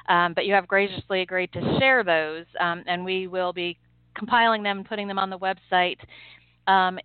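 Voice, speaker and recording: A woman's voice: fairly low pitch, smooth, no room sound. An American woman hosting a podcast interview in a polite, agreeable conversational style. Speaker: podcast host. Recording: dry, narrow phone-like sound.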